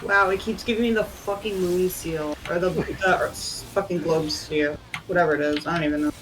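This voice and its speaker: A sweary man reacts casually to a game he is playing with others. Clear background noise sits behind his voice. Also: sits high, fairly even intonation, moderate pace. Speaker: gamer in a group raid. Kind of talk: casual chat reacting to online gameplay.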